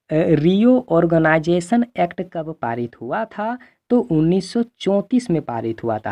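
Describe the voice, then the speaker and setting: A man's voice: high-pitched, mellow. A male teacher from the belt, giving an exam revision lesson, repeating himself and answering his own questions. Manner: repetitive, asks then answers, frequent check-in tags. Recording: dull lo-fi microphone sound.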